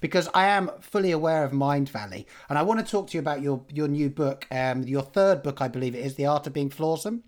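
A man hosts an interview at a brisk clip, with a voice that sits somewhat high for a man. He is chatty and enthusiastic, and his questions run long and wind around before they land. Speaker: podcast host. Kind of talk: conversational interview hosting.

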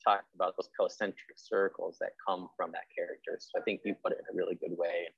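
A man's voice: low-pitched, level tone, fairly quick, vocal fry, slightly rough, no echo, lo-fi sound. A man hosting a podcast interview in a casual, chatty, informal way.

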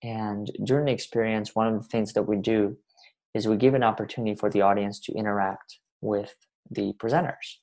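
Man, 20s, from Russia, podcast guest; low, level delivery, earnest and unhurried. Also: steady tone, a little mellow, low-quality recording. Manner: earnest, measured, speaks in long winding sentences. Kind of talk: reflective interview talk.

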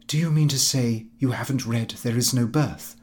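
A man voicing an overbearing lady houseguest in a comic novel, sharp and insistent. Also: mid-range voice, moderate pace, natural voice. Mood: surprised.

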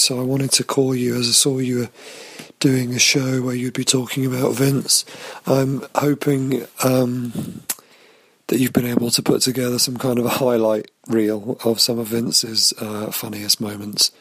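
A man leaving a voicemail, speaking with a hoarse, croaky voice in a rambling, halting way full of ums and restarts. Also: medium pitch, fairly bright tone, no echo. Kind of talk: casual voicemail tribute.

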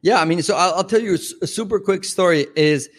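A man whose first language is Spanish, chatting as a podcast guest. He rambles, restarts and fills with "like" and "uh". His voice sits a bit high and the sound is dry and close. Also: fast, dry recording. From Mexico. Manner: rambling, lots of fillers and restarts. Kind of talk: casual interview chat.

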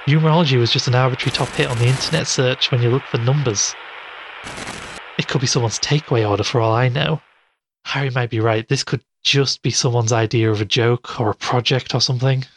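An actor playing a skeptical investigative podcast host in an audio drama, haltingly thinking aloud. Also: male voice, medium pitch, steady tone, a bit bright, breathy edge, poor audio. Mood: dismissive, reasoning. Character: skeptical male investigative show host puzzling over clues.

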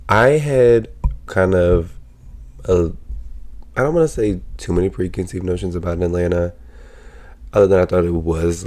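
A man hosting his own podcast, talking casually with a sick, raspy voice, rambling with lots of fillers and swearing. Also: deep voice, a little mellow. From Pennsylvania. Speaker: podcast host. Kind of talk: casual solo podcast chat.

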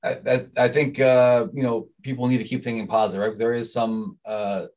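Fast-talking male podcast co-host. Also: mid-range voice, little pitch movement, darkish tone. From Southern Maryland, USA. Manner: chatty, repeats words when starting sentences. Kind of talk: casual interview chat.

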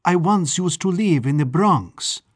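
A man voicing a young woman of a traveling caravan, who talks brightly and earnestly. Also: lowish voice, lively intonation, unhurried, slightly rough, no echo, clean studio sound, soft background music, pitched above the performer's own voice. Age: 20s. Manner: bright and chatty, turning grave.

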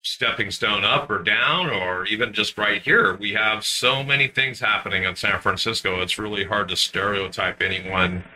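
Casting: male American interview co-host, fairly low voice with a hard bright edge, informal. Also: moderate pace, few pauses, rough recording. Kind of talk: conversational interviewing. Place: recording studio.

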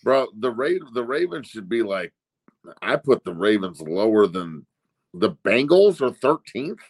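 A man's voice: lowish voice, very animated, a little slow, breathy edge. A man in his forties with an American accent, co-hosting a sports show with emphatic, profanity-laced casual commentary. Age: 40s. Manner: emphatic, blunt, incredulous ranting.

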